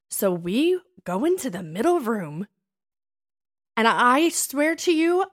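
A woman in her thirties speaks as a podcast co-host at a medium pitch. She tells personal stories in a casual, animated way. She leans on words and repeats them for emphasis. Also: no room sound, clean studio sound. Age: 30s.

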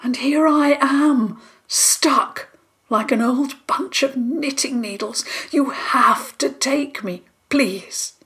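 A woman voicing a very old spiky forest creature in a storybook, with a hoarse, whispery voice. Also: high voice, slow, fairly bright tone, pitched above the performer's own voice, full character voice. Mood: pleading.

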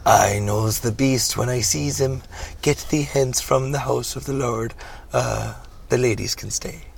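A man playing a greedy, lecherous movie reverend who preaches indignantly in drawn-out phrases. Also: mid-range voice, gravelly, a little hiss or hum. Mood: indignant preaching.

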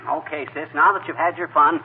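High, excitable blustering husband played by a man. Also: a little fast, lightly acted. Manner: blustering, folksy, sputtering. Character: excitable, exasperated, bumbling husband. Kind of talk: 1940s radio comedy acting. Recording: scratchy, noisy, narrow-band 1940s radio recording.

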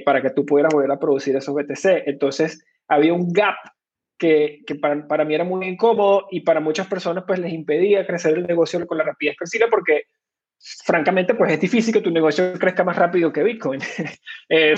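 High-voiced Venezuelan man, husky. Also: fairly quick, muffled recording. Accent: Venezuelan Spanish. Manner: explanatory, many fillers and restarts. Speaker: guest, crypto company cofounder. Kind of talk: conversational interview answers.

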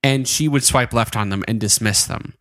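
A man's voice: mid-range voice, normal speed, a bit bright, no echo. A man co-hosting a comedic podcast, joking, laughing often and reading out listener messages. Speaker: podcast co-host. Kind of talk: casual comedic podcast chat.

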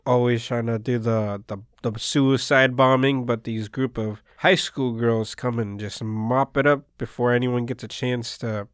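A man whose first language is Spanish, co-hosting a casual anime podcast and recapping plots in a relaxed, rambling way. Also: mid-range voice, unhurried, hardly any pauses, clean tone, dry recording, clean studio sound. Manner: laid-back, rambling plot recaps. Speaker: podcast co-host.

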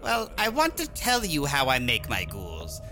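An actor playing a macabre, gleefully sinister horror-tale host, speaking in a theatrical style. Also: male voice, mid-range voice, wildly swooping pitch, measured pace, pushed and bright, a little hiss or hum, heavily acted.

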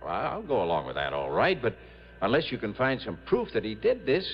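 An actor playing a skeptical police officer in an old radio drama, grudging and hesitant. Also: male voice, lowish voice, wildly swooping pitch, brisk. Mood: doubtful. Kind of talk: 1950s-60s American radio drama acting. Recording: dull old radio recording, faint music.

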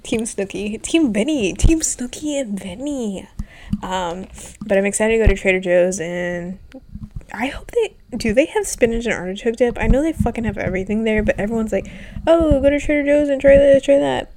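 A woman's voice: on the high side, moderate pace, background noise. A young woman in her twenties, stuffy and congested from being sick, rambling casually with lots of ums and some swearing. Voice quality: stuffy nasal. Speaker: vlogger. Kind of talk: casual personal chat.

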